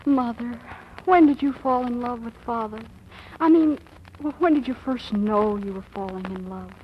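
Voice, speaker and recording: Actress as gushy, melodramatic girl of fifteen, high-pitched, old muffled radio sound. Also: moderate pace, natural voice. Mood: eager, dreamy. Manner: gushing, breathy hesitations and exclamations. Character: lovestruck, melodramatic fifteen-year-old girl, aspiring writer. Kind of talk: 1940s radio acting. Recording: muffled, noisy vintage radio recording.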